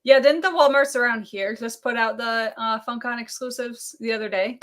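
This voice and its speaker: A woman's voice: on the high side, normal speed, fairly bright tone. A woman co-hosting a casual live stream, adding short comments from a little away from the mic. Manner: brief interjections. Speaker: co-host.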